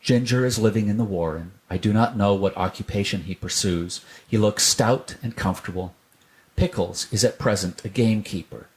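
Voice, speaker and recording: Male narrator, slightly deep, scripted reading of a children's tale. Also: normal speed, a little mellow, low-quality recording. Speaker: storyteller.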